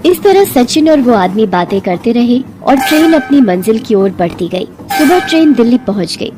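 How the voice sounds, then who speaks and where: A woman narrating a scripted moral story in an expressive, dramatic storytelling manner. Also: fairly high pitch, normal speed, noisy background.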